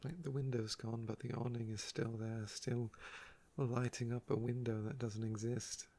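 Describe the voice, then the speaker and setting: A soft-spoken man with a croaky voice, telling stories about his day in an unhurried, wistful ASMR ramble. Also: medium pitch, flat delivery. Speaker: ASMR video creator.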